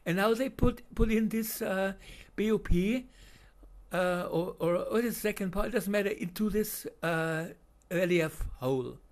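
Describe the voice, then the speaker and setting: A man with a German accent giving unscripted voice-over commentary on video footage, halting with many fillers and restarts. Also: high-pitched.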